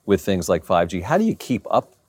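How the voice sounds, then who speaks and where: Interviewer, man, low voice, dry close mic, conversational leading questions. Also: big pitch swings, a little fast, darkish tone, slightly rough, no echo. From Maryland. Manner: leading questions, seeks agreement.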